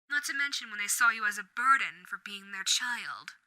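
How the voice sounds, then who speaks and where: A woman's voice: medium pitch, animated, moderate pace, bright, forceful tone, no room sound, rough recording. A woman voicing a charming, manipulative female overlord demon in an audio roleplay, speaking in a theatrical, coaxing way with whispered asides. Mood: sweetly menacing. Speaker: voice actor.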